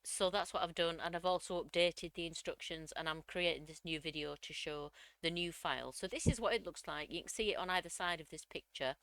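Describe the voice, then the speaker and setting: Woman, a bit croaky from a cold, lowish voice, smooth clear tone, chatty step-by-step tutorial. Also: brisk, few pauses, fairly bright tone, no room sound, low-quality recording. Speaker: craft tutorial host. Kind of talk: screen-recorded software tutorial.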